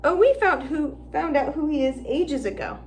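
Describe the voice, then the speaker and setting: A woman's voice: high-pitched, animated, moderate pace, darkish tone, slight background noise, voice pushed up. A woman voicing a boastful schoolboy wizard. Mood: eager. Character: eager schoolboy wizard, know-it-all about dragons.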